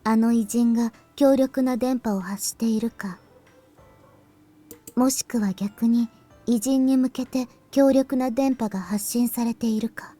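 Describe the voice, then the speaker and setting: A woman playing a grave, quiet teenage schoolgirl in a Japanese visual novel, speaking in a soft, breathy, measured way. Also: on the high side, fairly even intonation, soft background music, natural voice. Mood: serious, deducing. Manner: measured, hushed, serious.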